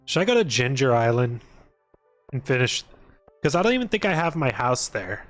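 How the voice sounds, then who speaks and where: A man's voice: mid-range voice, big pitch swings, moderate pace, fairly bright tone, soft background music. A man giving casual, rambling gaming stream commentary, thinking aloud and joking as he plays. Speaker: video game livestreamer.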